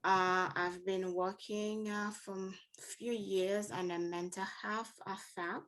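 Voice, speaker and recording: A woman mental health counselor from Haiti introducing herself on an online panel, hesitant and pausing often, over a video call. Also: mid-range voice, fairly even intonation, a bit bright, poor audio. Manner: hesitant, with many pauses.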